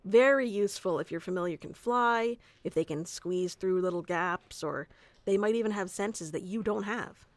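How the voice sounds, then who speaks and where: A woman's voice: mid-range voice, normal speed, dry recording, lightly acted. A woman playing a bossy, geeky tsundere wizard teacher who explains things informally and smugly in an ASMR roleplay. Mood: proud, explanatory. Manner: informal, smug, flustered asides, explanatory. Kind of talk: scripted ASMR roleplay audio addressed to the listener.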